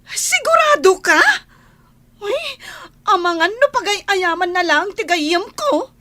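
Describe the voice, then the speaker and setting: A woman's voice: very high voice, lively intonation, a little slow, bright, forceful tone, exaggerated voice. A woman playing a nosy, feisty young housemaid in an Ilocano radio comedy-drama, prying and exclaiming theatrically. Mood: shocked, indignant.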